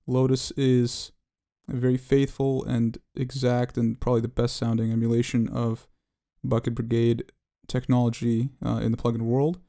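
A man presenting an unscripted software tutorial as its developer, explaining technical detail in a halting, hesitant way. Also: mid-range voice, level tone.

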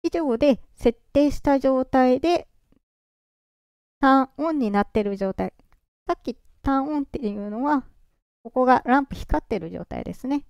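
A presenter walking through software setup step by step in a calm, explaining way, their voice run through a pitch-raising voice changer. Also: moderate pace, gravelly, clear, resonant tone, no room sound, highs cut off. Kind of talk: screen-recorded software walkthrough.

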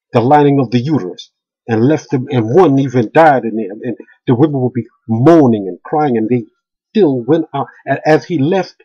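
Husky man ranting into a webcam. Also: mid-range voice, warm tone. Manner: heated, repetitive stammering restarts. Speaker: video blogger. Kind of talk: angry webcam rant. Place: small room indoors. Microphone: webcam at close range. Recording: dull lo-fi webcam audio.

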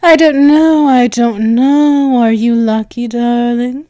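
A woman playing a flustered, bratty but affectionate cat-girl girlfriend in an ASMR roleplay, halting and teasing as she stammers. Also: fairly high pitch, steady tone, dark tone, no room sound. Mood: coy, teasing. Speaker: audio roleplay performer.

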